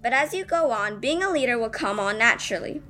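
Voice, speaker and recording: High-pitched female student reading an essay. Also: animated, fairly quick, bright, forceful tone, soft background music. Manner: earnest, didactic, with rhetorical questions. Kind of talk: scripted speech read aloud, addressing listeners.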